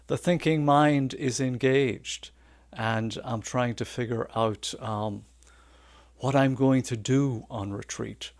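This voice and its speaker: An older man giving a reflective Dharma talk as a Zen teacher, halting and contemplative with thoughtful pauses. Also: mid-range voice, creaky, no echo, studio quality. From Ireland. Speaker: Zen senior assistant teacher.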